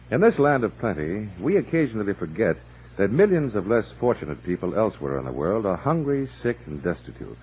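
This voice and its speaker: A man works as a formal radio announcer, earnest and measured. His low voice is husky and swings widely in pitch. The recording is thin and narrow, like old radio.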